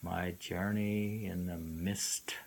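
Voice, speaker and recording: A man reading poems aloud informally and musing on them in a halting way, often repeating lines. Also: low voice, steady tone, gravelly, a little mellow. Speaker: poetry reader and commentator. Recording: noisy amateur recording.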